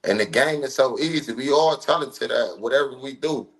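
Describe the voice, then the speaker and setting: A man's voice: medium pitch, normal speed, fairly bright tone, poor audio. Laid-back, slangy man in his thirties. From Ohio, USA. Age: 30s. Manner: laid-back, slangy, rambling with many fillers. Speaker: rapper guest. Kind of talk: casual podcast chat.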